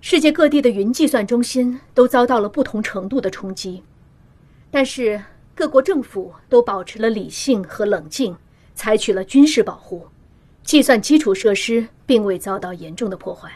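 A woman playing a composed official who gives a formal, measured, earnest briefing in a radio drama. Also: fairly high pitch, expressive, darkish tone. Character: composed female official chairing an emergency summit.

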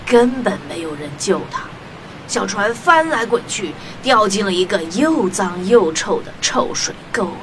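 A woman hosting and narrating a children's audio story in an expressive scripted style, speaking directly to young listeners. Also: medium pitch, unhurried, noisy background. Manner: addresses children directly, animated.

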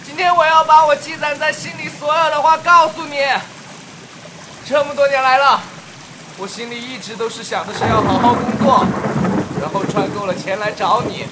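An actor playing an earnest, devoted young man in an audio drama, pleading haltingly and emotionally. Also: very high-pitched, steady tone, noisy background. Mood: urgent, pleading. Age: young adult. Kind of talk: Mandarin audio drama acting.

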